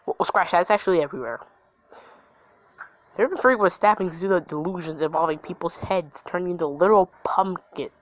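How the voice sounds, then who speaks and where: A local television reporter in a disaster drama, acted by an amateur. The voice sits at a middling pitch. The sound is dull and lo-fi. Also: a little fast, dry recording, lightly acted. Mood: urgent, grave. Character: TV news reporter covering an outbreak, turning terrified. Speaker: news reporter. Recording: dull lo-fi audio.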